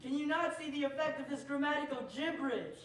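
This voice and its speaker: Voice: high voice, quick pace, fairly bright tone. A performer playing a pompous, exasperated outlaw rustler in a stage Western, with precise and over-emphatic diction. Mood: desperate pleading. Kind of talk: stage show of old-time Western radio drama. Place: theater stage with distant microphone. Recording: very echoey noisy stage, audience, far off.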